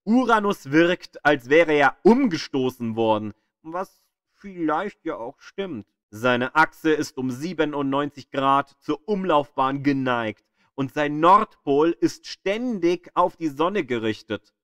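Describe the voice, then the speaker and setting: A man reading a scripted educational audio guide in a measured, emphatic storytelling manner, with pauses. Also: on the high side, expressive, fairly bright tone, dry recording, studio quality.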